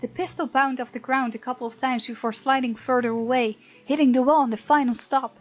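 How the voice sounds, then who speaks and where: High-voiced woman narrating a game playfully. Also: a little fast. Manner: playful, expressive, reactive. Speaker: gameplay host reading a visual novel aloud. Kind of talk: story narration with playful let's play commentary. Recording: dull, lo-fi sound with music behind.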